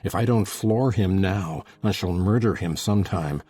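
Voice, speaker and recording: A deep, dark, husky voice of a furious, vengeful brute of a man. He broods and threatens in a period drama, recorded very dry. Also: normal speed, no echo. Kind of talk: dramatized classic novel reading.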